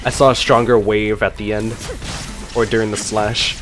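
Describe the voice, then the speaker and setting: A man's voice: lowish voice, level tone, moderate pace. A man playing a video game and reacting to it in casual commentary, with game music behind him. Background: game music and battle sounds.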